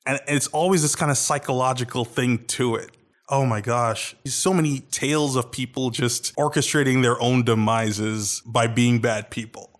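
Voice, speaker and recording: A man's voice: mid-range voice, fairly even intonation, moderate pace. A man co-hosts a casual podcast chat, talking with eager enthusiasm. His voice sits in an ordinary mid range. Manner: enthusiastic, chatty. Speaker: podcast co-host.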